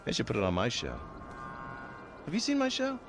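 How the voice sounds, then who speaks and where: An actor playing an arrogant, bullying American federal agent in a video game, smug and aggressive. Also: male voice, on the high side, wildly swooping pitch, a little fast, faint music behind. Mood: smug, mocking. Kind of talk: video game cutscene acting.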